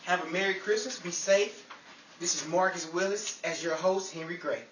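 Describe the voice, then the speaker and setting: A man's voice: high-pitched, steady tone, normal speed. A man hosting an old-time radio-style show, delivering scripted sign-offs. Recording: roomy, distant mic, faint noise.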